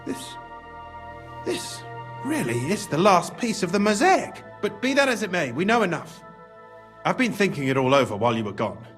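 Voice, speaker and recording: High-pitched, excitable male schemer. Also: moderate pace, faint music behind. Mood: calculating. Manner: exclamatory, conspiratorial, wordy and theatrical. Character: cunning, excitable scheming male mine official, smugly pragmatic. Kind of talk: video game cutscene acting.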